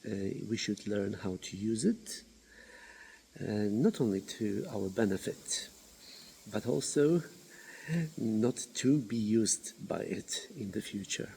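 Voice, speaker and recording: A man gives a video self-introduction as a university coordinator, speaking with lively, swooping pitch and halting pauses. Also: creaky, slight background noise, rough recording. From Poland. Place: remote village.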